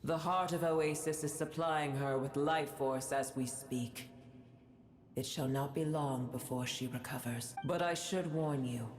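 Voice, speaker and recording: Ancient dragon sovereign, very low female voice, proud, archaic diction, cavernous echo, video game. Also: measured pace, darkish tone, soft background music. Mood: grave, proud. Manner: haughty, archaic, stately formal speech.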